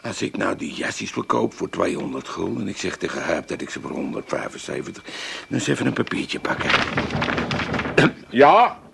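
An actor playing a talkative, boastful older shopkeeper with an Amsterdam accent in a radio play, rambling on in chattering sales patter. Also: male voice, low-pitched, big pitch swings, normal speed, gravelly, very noisy, heavily acted. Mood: flustered, scheming. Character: talkative, boastful old male shopkeeper, a bit tipsy.

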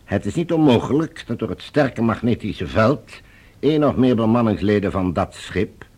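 A man playing a cold, suspicious leader of Mars in a science fiction radio drama, with formal, imperious, measured speech. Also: on the low side, very animated, darkish tone, breathy edge. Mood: formal, commanding.

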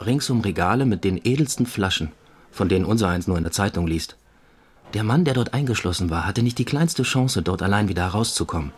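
A man playing a police investigator in an audio drama, reporting in a factual, measured, dry-witted manner. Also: fairly low pitch, expressive, breathy edge. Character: matter-of-fact male police investigator giving a case report. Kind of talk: German audio drama dialogue.

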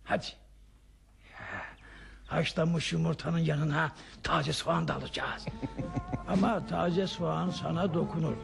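Very gravelly, high-voiced old man. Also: fairly even intonation, unhurried, pauses often, faint music behind. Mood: eager, chatty. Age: older. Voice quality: husky, gravelly. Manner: hesitant, chatty. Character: fussy, eager old man, a childlike companion. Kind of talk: radio theatre acting.

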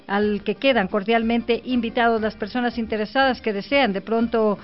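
A woman hosting a radio interview, asking questions briskly and wrapping up in announcer style. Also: on the high side, steady tone, muffled recording, faint music behind. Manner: brisk, announcer-like, closing formulas. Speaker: radio host.

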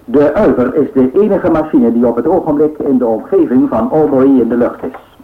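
An actor playing a formal, measured radio newsreader giving grave bulletins in a radio drama. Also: male voice, medium pitch, natural voice. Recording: tinny radio sound, very noisy.

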